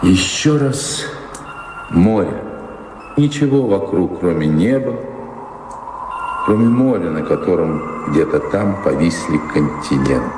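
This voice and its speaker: An actor playing a melancholy baron in a radio drama, speaking in a measured, brooding, commanding way. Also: male voice, sits low, animated, noisy background. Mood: wistful, rapt. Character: melancholy baron, master of the house, yearning for faraway seas. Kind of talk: theatrical radio drama acting. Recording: reverberant hall, music behind.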